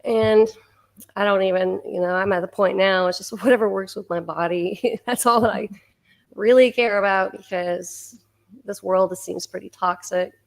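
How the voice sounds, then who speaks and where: Hoarse woman hosting a chatty livestream. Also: medium pitch, steady tone, moderate pace. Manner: chatty, laughs often, stops to clear her throat. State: hoarse, losing her voice. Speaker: livestream host reading viewer comments. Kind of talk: casual chat.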